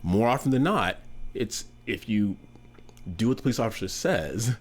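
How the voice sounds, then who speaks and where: A man in his fifties from the United States speaks with a very low voice. He answers candidly and with good humor, taking his time over each point. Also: animated, moderate pace, husky. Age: 50s. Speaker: interviewee. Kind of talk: casual interview answers.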